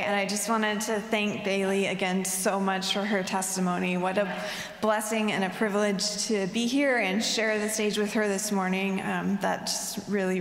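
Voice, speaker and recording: A woman's voice: medium pitch, gravelly. A young woman giving an earnest testimony talk on a chapel stage into a handheld mic, with many ums and you knows. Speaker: chapel speaker. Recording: echoey hall with long reverb.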